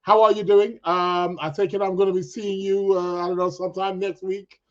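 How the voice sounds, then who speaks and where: A chatty man hosts a live stream with a fairly high voice that sounds croaky and a little stuffed up. He sounds upbeat and greets his listeners one by one. The recording is dull and lo-fi. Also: little pitch movement, normal speed. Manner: chatty, upbeat, gives viewers shout-outs. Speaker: podcast host.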